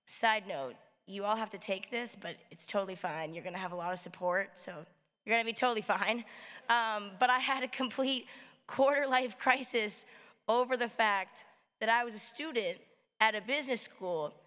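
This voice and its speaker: A hoarse young woman with a raspy voice, giving a casual, chatty welcome speech as student government president at a lectern mic. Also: medium pitch, moderate pace. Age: young adult. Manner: casual, chatty, lively gestures. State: hoarse from screaming. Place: large arena, indoors. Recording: long hall reverb.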